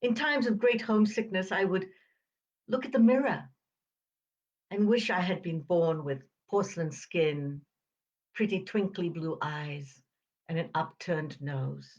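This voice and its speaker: Female, 70s, upper-crust British. Slow, reflective storyteller, precise diction, pauses between phrases, slightly muffled recording. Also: on the low side, darkish tone. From Uganda. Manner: crisp enunciation, measured phrasing with deliberate pauses. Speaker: podcast host. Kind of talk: reflective personal storytelling monologue.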